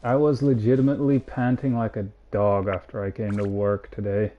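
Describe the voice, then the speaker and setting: A man's voice: on the low side, flat delivery, moderate pace. A man chatting in a casual, rambling way as a game streamer, speaking into a headset mic. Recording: dull headset mic, faint noise.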